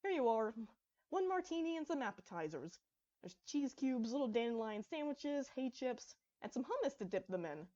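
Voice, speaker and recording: A woman's voice: sits high, very animated, normal speed, dark tone, highs cut off. A woman voicing a helpful, organized female pony hostess in a story reading. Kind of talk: fan fiction story reading.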